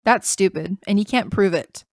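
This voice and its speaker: A woman voicing a sharp, dismissive female rabbit prosecutor with a curt delivery. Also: mid-range voice, moderate pace, a little hiss or hum, lightly acted. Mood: cutting. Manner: curt and cutting. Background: background music.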